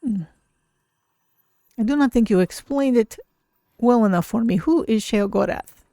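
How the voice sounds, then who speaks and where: A streamer voicing an easygoing cat-folk adventurer in a fantasy game, measured and wry, with a creaky voice. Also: medium pitch, dark tone, no echo, studio quality, close to an everyday voice. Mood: wry, doubtful. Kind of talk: in-character game stream roleplay.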